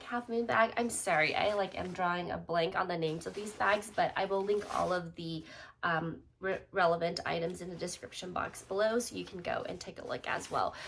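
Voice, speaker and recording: A woman's voice: mid-range voice, creaky, slight background noise. A young adult woman who sounds congested, chatting casually through a bag review vlog at home, with lots of fillers. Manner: relaxed, chatty, many fillers. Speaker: YouTube vlogger.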